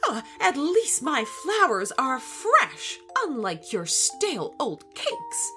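A woman's voice: high voice, lively intonation, drawn-out words, bright, forceful tone, soft background music, pitched above the performer's own voice, heavily acted. A woman voicing a large, kindly but easily affronted female gorilla florist in a children's story, flustered and genteel. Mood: affronted, huffy. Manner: flustered, genteel indignation with stammers.

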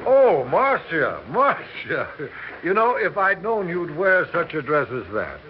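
An actor in a 1960s radio drama playing an effusive, florid, middle-aged performer who flatters to get work. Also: male voice, on the high side, a little slow, a little hiss or hum. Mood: gushing, ingratiating. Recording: dull vintage radio, party chatter behind.

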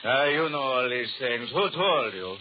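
A man's voice: mid-range voice, animated, unhurried, close to an everyday voice. A man playing a wary, uneasy American crook in a 1930s radio horror drama, terse and slangy. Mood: wary, puzzled. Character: wary tough-guy crook, big and uneasy. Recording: muffled, noisy 1930s radio recording.

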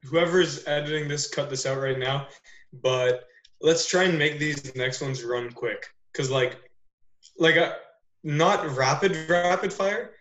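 A man's voice: fairly high pitch, a little slow, a bit bright, echoey room. A young man from Canada, hosting a casual podcast, teasing his friends and running the questions. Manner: joking, teasing, runs the agenda. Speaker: podcast host.

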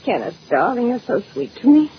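Old radio drama: a willful, honeyed, high-voiced wife, slightly noisy recording. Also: female voice, big pitch swings, a little fast, gravelly. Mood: tender. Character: sweet-talking, strong-willed society wife, indignant when crossed. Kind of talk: 1940s radio mystery acting. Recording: muffled old radio, faint noise.

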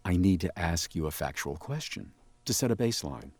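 An actor playing an insistent, deadpan, absurdly serious interrogator in a comic audio drama. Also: male voice, low-pitched, a little fast, breathy edge, no room sound, full character voice. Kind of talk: comedic audio drama acting.

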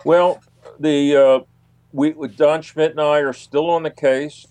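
An older man from the United States speaking haltingly as an unscripted interview guest. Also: fairly high pitch, fairly even intonation, darkish tone, smooth, no room sound. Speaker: interview guest, UFO investigator.

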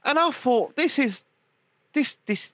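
Lively high-voiced man bantering. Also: slow, no room sound. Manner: laughs often, teasing, swears freely. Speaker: podcast host. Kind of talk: casual podcast banter.

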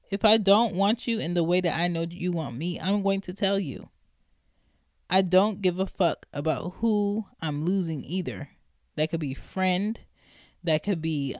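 Woman, 30s, podcast host, blunt and emphatic, profane, low-pitched, dry recording. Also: dry recording. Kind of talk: casual monologue.

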